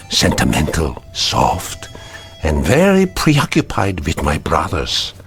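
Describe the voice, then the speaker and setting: Weary old father figure, deep, slow. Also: fairly bright tone, slightly rough, heavily acted. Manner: slow, deliberate, world-weary, tender. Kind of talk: vintage-style radio drama acting.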